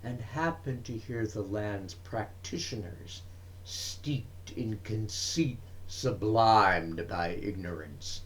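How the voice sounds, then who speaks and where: A man voicing a learned, troubled ancient physician in a dramatic poem, speaking in a formal, pensive, emphatic manner. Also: lowish voice. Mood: disdainful.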